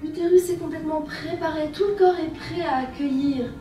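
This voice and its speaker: A woman from France with a French accent and a high voice, guiding a women's workshop in a soft, lyrical way. She is far from the mic in a very echoey room. Music plays clearly behind her. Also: moderate pace. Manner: gentle, lyrical, with repeated phrases. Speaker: workshop leader. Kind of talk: guided women's workshop talk.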